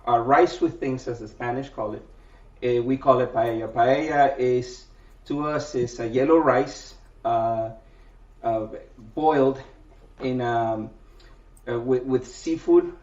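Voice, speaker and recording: A man's voice: mid-range voice, a little mellow. A man from Venezuela answers questions as a guest cook on a podcast, stumbling over fillers and restarting his sentences. He sounds distant from the mic in an echoing room, with a dull lo-fi tone. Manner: halting, many fillers and restarts. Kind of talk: casual interview answers.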